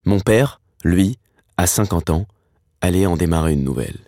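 A man. He narrates a scripted first-person story. His delivery is wry and slangy, broken by dramatic pauses. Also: sits low, lively intonation, mellow, no echo, clean studio sound. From Paris, France. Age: 30s. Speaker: narrator telling his own life story.

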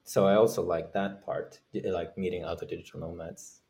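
A man from Norway speaking casually as an interview guest, halting as he thinks aloud. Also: low voice, fairly even intonation, darkish tone. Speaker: guest, startup founder.

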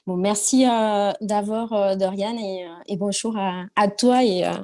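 An Argentine woman in her forties speaks French with a clear accent. Her voice is mellow and warm, at an average pitch. She talks in a halting way, pausing often to find her words. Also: fairly even intonation. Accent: Argentine Spanish. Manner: hesitant, many fillers and restarts while searching for French words. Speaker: guest entrepreneur. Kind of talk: casual interview talk.

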